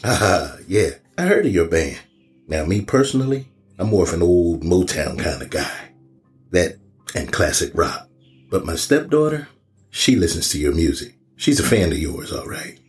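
An actor plays a middle-aged small-town police chief in an audio drama. His voice is deep, his manner folksy and probing, with faint music behind. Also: sing-song. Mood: chummy. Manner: folksy, unhurried questioning.

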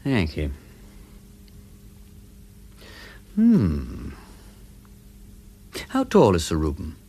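An aristocratic English gentleman detective in a radio drama. His upper-class voice swoops dramatically up and down, full of playful exclamations, and he is witty, keen and theatrical. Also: low voice, huge pitch swings, takes it slowly, slightly rough, exaggerated voice. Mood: eager, absorbed.

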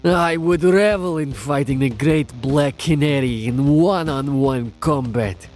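A man playing a taunting, grandiose supervillain in a superhero audio drama, with theatrical, pompous, drawn-out delivery. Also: fairly high pitch, a little mellow, soft background music, lightly acted. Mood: smug.

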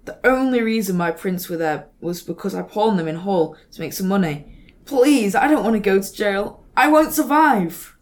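A woman's voice: mid-range voice, expressive, normal speed, darkish tone, close to an everyday voice. A young woman playing an anxious, whiny male accomplice to a thief in an amateur audio drama. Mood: pleading.

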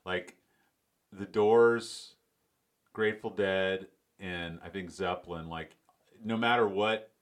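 A middle-aged man from Florida with a deep voice, hosting a casual interview and rambling through his questions with many restarts. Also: fairly even intonation, slow pace, pauses often. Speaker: podcast host.

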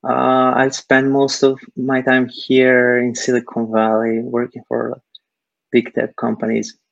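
Guy on a video call, gravelly, real dry, keeps going uh, sounds kinda lo-fi. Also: mid-range voice, monotone, a little slow, dry recording. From Serbia. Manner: hesitant, many uh fillers. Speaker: guest, startup technical founder. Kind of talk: casual interview answers.

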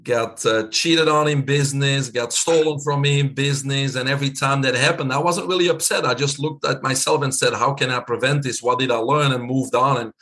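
A man's voice: mid-range voice, fairly quick, few pauses. Guest, male, 50s, Belgian accent; laid-back storyteller with frequent uh's and laughs. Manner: relaxed, chatty, laughs often, many uh fillers. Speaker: guest, physician. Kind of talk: casual storytelling interview.

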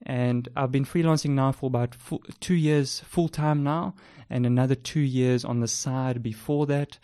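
Young South African male co-host, smooth resonant voice, dry close sound, relaxed and chatty with fillers. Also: mid-range voice, moderate pace, no room sound. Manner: relaxed, with frequent ums and uhs. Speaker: podcast co-host. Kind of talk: casual self-introduction.

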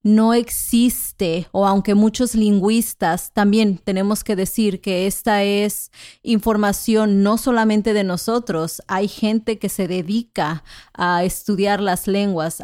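A Mexican woman co-hosting a podcast, speaking in a measured, clear way for learners and often affirming with soft murmurs. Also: mid-range voice, no room sound, clean studio sound. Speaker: podcast co-host. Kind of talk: slow, clear conversational chat for learners.

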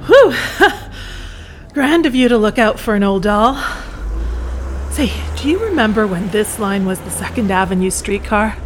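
A woman's voice: measured pace, lightly acted. A woman acting in an audio play as a chatty, familiar elderly bohemian painter. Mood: chatty, jovial. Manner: folksy, familiar, chuckling. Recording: clear vehicle rumble behind the voice. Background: bus ride ambience.

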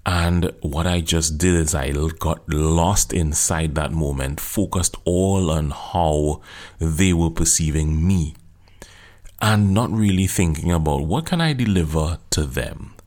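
A man with a remarkably low, deep voice speaks as a podcast host and speaking coach. He talks earnestly and encouragingly, addressing the listener directly as he walks them through an exercise. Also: takes it slowly, a little mellow. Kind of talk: solo motivational podcast talk.

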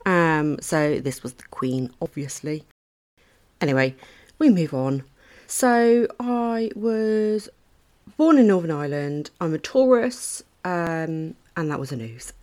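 A woman's voice: fairly low pitch, darkish tone. A woman in her thirties hosting her own podcast, talking about her life in a rambling, candid way, with casual swearing and laughs at her own stories. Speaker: podcast host. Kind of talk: casual solo podcast monologue.

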